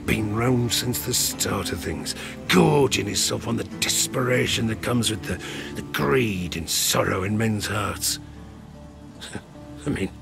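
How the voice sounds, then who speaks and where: A man's voice: lowish voice, gravelly. A man playing a ruined sailor and gambler, telling his rueful tale for an audio drama. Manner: slow, rueful storytelling with colloquial dialect. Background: music.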